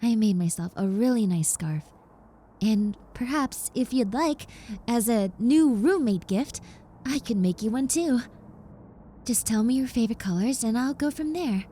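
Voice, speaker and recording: A woman's voice: on the high side, expressive, measured pace, long pauses, warm tone, slight background noise. A woman playing a shy, rambling young roommate, a reformed obsessive, in an intimate audio roleplay with hesitant, stammering delivery. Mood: gently eager. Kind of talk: roleplay audio acting, intimate one-sided conversation.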